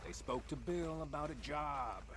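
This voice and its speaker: An actor playing a gruff, impatient American outlaw henchman in a video game drama. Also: on the high side, unhurried, natural voice. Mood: impatient, businesslike. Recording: very noisy outdoor game ambience.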